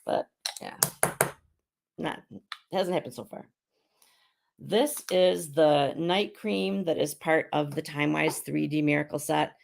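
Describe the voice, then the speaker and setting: A middle-aged woman who is hoarse while getting over an illness, chatting casually as she hosts a live skincare demonstration at home. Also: low voice, slow, pauses often, a little mellow. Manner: chatty and rambling, with frequent restarts. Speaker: beauty consultant and show host.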